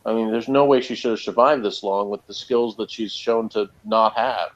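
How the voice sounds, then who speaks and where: A man's voice: fairly low pitch, fairly even intonation, moderate pace. A man in a casual review chat as a podcast reviewer, speaking in a sardonic manner. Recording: slightly dull, lo-fi audio.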